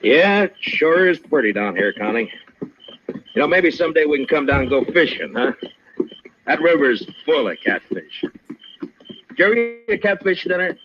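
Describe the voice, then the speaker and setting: An American actor playing a calm, watchful frontier marshal in an old-time radio western, speaking in a measured, probing way. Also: male voice, a little high. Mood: casual, guarded. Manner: measured, probing, gently persuasive. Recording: muffled, noisy old radio recording.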